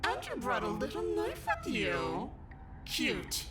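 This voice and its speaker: A woman's voice: high-pitched, huge pitch swings, takes it slowly, exaggerated voice. A woman voicing a sadistic, playful nightmare princess in a visual novel game, with a taunting, teasing manner. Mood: playful menace. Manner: taunting, teasing, savoring menace. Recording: loud music behind the voice.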